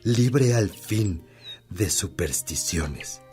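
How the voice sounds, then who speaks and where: An actor playing a wise, kindly old priest in a Spanish-language radio drama, telling stories in a measured, earnest way. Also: male voice, low voice, expressive, dark tone, faint music behind, heavily acted. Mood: earnest storytelling.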